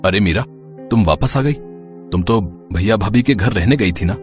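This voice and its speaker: A man playing a calm, protective family man in a cartoon household drama, with a placating manner. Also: on the low side, moderate pace, soft background music, close to an everyday voice. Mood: surprised.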